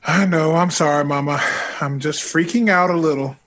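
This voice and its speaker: A woman's voice: sits low, moderate pace. A woman playing a nervous, wry young aspiring singer, someone's son, in a natural, casual audio drama performance.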